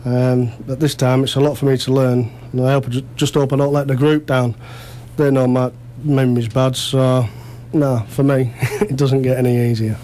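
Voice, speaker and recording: A man's voice: mid-range voice, little pitch movement, moderate pace, few pauses, warm tone, slight background noise. A man chatting casually as a radio interview guest, modest and self-deprecating. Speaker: amateur actor interview guest.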